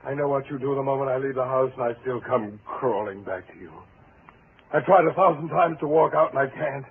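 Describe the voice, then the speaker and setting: Man playing a menacing obsessed husband, medium-pitched, theatrical, deliberate taunting delivery, 1940s radio drama, narrow phone-like sound. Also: natural voice. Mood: anguished, desperate. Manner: deliberate, theatrical, mock-polite. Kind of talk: 1940s radio thriller acting. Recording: tinny, hissy 1940s radio recording.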